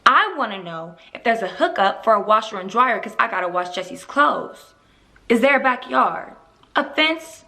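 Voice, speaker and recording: Casting: actress as fed-up young mother, high forceful voice, indignant questioning, stage drama. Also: expressive. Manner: indignant, rapid-fire questioning. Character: exasperated young mother scolding her partner. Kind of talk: theatrical stage monologue.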